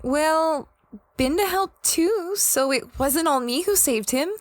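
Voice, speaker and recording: A woman's voice: sits high, lively intonation, slow pace, dry recording. A woman playing an apologetic young female space adventurer in an audio drama, hesitant and self-effacing. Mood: awkward, apologetic. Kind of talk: audio drama dialogue and diary-like narration.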